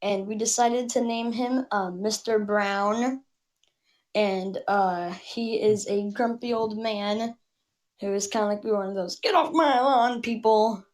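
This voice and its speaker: A woman's voice: medium pitch, takes it slowly, darkish tone. A woman giving a hesitant, casual explanation as a guest.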